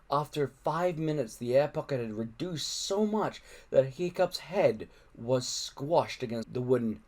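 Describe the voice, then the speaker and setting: A man reading a children's adventure book aloud in an expressive narrating style. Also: mid-range voice. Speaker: audiobook-style reader.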